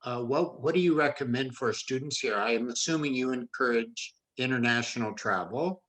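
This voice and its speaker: A man hosting a faculty interview, friendly and a bit halting with restarts. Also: mid-range voice, fairly bright tone. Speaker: interview host, business law lecturer.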